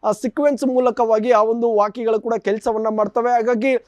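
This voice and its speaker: A man giving an unscripted TV news report in a halting, repetitive way with formal phrasing. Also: very high-pitched, fairly even intonation, a little mellow, no room sound.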